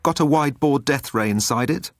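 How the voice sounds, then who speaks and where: A man's voice: medium pitch, a little fast, no room sound, studio quality, soft background music, voice pushed up, lightly acted. A man voicing a hapless, weary man in an audiobook, with a plaintive, exasperated manner. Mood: anxious. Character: hapless, weary, grumbling English everyman.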